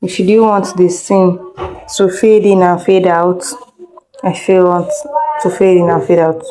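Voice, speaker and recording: A woman's voice: fairly low pitch, flat delivery, unhurried, mellow, faint music behind, low-quality recording. A woman teaching an informal step-by-step screen tutorial, instructing directly, repeating herself and checking that learners follow. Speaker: video editing instructor.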